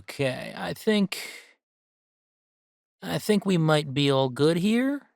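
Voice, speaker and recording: A man playing an upbeat, earnest video game streamer who chats casually and haltingly in a livestream roleplay. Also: on the high side, creaky, a bit bright, clear, resonant tone, dry recording, clean studio sound, exaggerated voice. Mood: relaxed, musing. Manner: halting, rambling, sighing, earnest.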